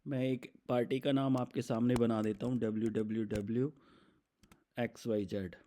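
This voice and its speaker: An Indian man presenting a step-by-step software demo in an explanatory, instructional manner, mixing in English terms. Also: mid-range voice, fairly even intonation, moderate pace, slight background noise.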